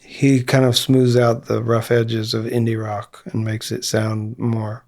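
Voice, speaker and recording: A man's voice: mid-range voice, monotone, measured pace, gravelly, a little mellow. A man in his fifties, a band singer, talking casually in a studio interview with lots of fillers and restarts. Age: 50s. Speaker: guest musician, singer in a band. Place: recording studio.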